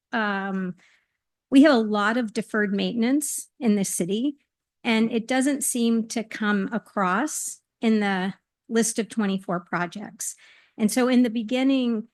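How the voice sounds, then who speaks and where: A woman giving an earnest, measured public comment at a podium microphone in a council meeting hall, with some hesitant restarts. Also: a little high.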